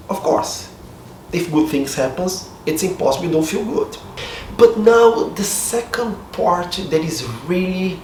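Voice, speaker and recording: A slightly hoarse man with a Brazilian Portuguese accent talks in a chatty, upbeat, self-deprecating way. His voice sits a little high for a man. He is recorded from a distance in an echoey room, with faint noise from outside. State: a bit hoarse. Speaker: vlogger. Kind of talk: casual talk to camera. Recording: roomy echo, distant mic, faint street noise.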